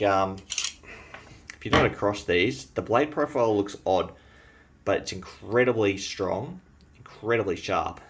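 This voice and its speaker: An Australian-accented man who grew up in New Zealand talks through his collection in a relaxed, chatty way. His voice sits on the low side, and he fills pauses with ums and uhs. A faint hiss of noise runs underneath. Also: gravelly. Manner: enthusiastic, chatty, frequent fillers. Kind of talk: casual show-and-tell vlog.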